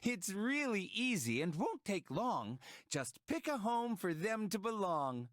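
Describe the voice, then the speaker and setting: A woman's voice: mid-range voice, very animated, a little slow, no echo, clean studio sound. A woman voicing a cheerful cartoon child who teaches preschoolers in sing-song rhyme. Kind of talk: scripted rhyming children's game narration.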